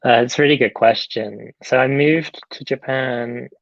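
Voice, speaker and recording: A young man answers interview questions over a video call, halting and full of fillers and restarts. His voice has a gravelly edge. The sound is dry and a little dull. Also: mid-range voice, steady tone, dry recording. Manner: hesitant, many fillers and restarts. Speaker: film director.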